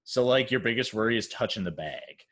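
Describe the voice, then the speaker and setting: A man's voice: medium pitch, lively intonation, fairly quick, a bit bright. A young man, co-hosting a casual podcast chat in a laid-back way with lots of fillers. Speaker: podcast co-host.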